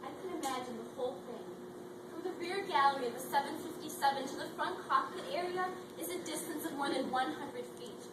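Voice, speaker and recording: A young woman playing a composed widowed mother who speaks in a measured, reflective way, in a student readers theatre. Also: sits high, close to an everyday voice. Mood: grave. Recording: echoey hall from far off, very noisy.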